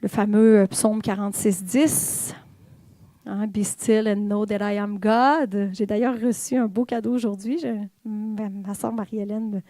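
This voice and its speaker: A woman's voice: medium pitch, fairly even intonation, a little slow, mellow, no room sound. A woman with a Québécois French accent giving an informal, conversational sermon in church, with fillers and the odd whispered aside. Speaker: church speaker.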